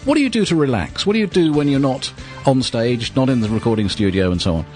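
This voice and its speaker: A man's voice: medium pitch, lively intonation, fast talker, a little mellow, soft background music. An English man speaking courteously as a radio host conducting an interview. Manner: courteous, affirming.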